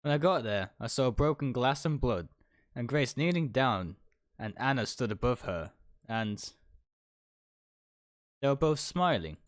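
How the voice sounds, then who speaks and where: A man's voice: medium pitch, expressive, unhurried, pauses often, no echo, studio quality. A man livestreaming a dramatic first-person read-aloud of a visual novel, stumbling over words now and then and correcting himself. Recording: music behind the voice.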